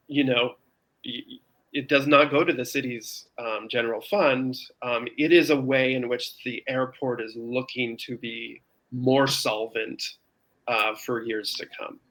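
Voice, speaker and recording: Man, interview guest, earnest explanatory delivery, medium pitch, conversational. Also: sharp tone, poor audio. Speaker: interview guest, open space campaigner.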